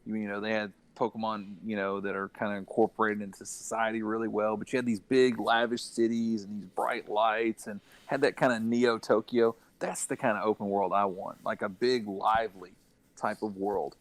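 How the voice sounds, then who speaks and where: A man's voice: fairly low pitch, level tone, normal speed, no room sound. A man co-hosting a casual gaming discussion, sharing opinions as he thinks them through aloud. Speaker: gaming podcast co-host.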